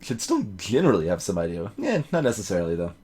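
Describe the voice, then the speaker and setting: A man's voice: low-pitched, wildly swooping pitch, fast talker, a little mellow, slight background noise. A man doing let's play commentary, reading a game aloud and talking back to it and to his chat.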